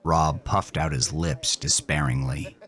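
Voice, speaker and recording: Deep, slow horror narrator. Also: male voice, fairly even intonation, breathy edge. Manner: intense, grave, dramatic. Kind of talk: scripted dramatic narration. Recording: loud horror score with rain behind.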